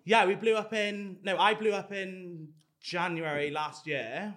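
Man, podcast guest, fairly high voice, chatty, filler-heavy, casual chat. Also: fairly even intonation, unhurried, fairly bright tone. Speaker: guest, content creator.

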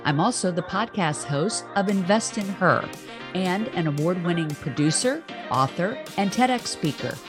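A woman's voice: fairly low pitch, expressive, moderate pace, music in the background. A woman hosting an interview podcast, chatty and upbeat, telling anecdotes as she goes. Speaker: podcast host. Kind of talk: casual interview chat.

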